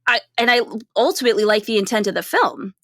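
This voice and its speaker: A woman's voice: a little high, expressive, fast, fairly bright tone, dry recording, clean studio sound. A woman co-hosting a podcast, talking casually about film in an explanatory way, often checking in with "right?". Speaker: podcast co-host.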